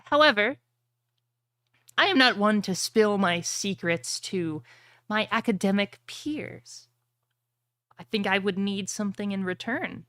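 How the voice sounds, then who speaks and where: A woman voicing a smug, secretive chaos witch in a tabletop game, speaking in slow, formal, teasing phrases. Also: mid-range voice, animated, a bit bright, no echo. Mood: coy. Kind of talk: tabletop roleplay dialogue.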